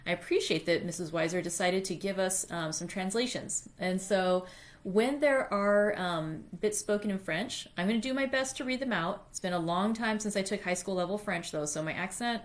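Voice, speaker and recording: Female read-aloud host, mid-pitched voice, informal and self-deprecating, able to read literary narration. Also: normal speed. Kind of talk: chatty introduction, then literary audiobook-style reading.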